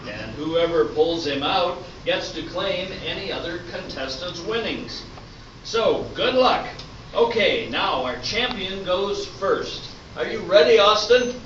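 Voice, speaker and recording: Casting: man as showy, upbeat quiz host, fairly high voice, amateur radio-play comedy; echoey room. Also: expressive, slow pace, fairly bright tone, heavily acted. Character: upbeat, patient male game show host. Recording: roomy, distant, noisy dull stage recording.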